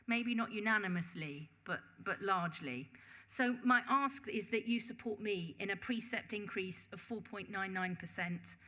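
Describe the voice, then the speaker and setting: A woman presenting a budget proposal to a council panel in a measured, formal address, reading out figures with occasional restarts. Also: medium pitch, bright, forceful tone. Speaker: police and crime commissioner presenting a budget. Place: council meeting chamber.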